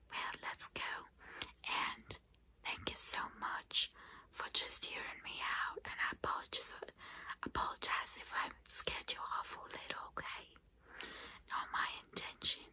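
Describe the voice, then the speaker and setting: A woman's voice: medium pitch, pushed and bright. A woman playing a doting, chatty vampire saleswoman in a whispered ASMR roleplay, with coaxing, folksy reassurance. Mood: coaxing, cautioning.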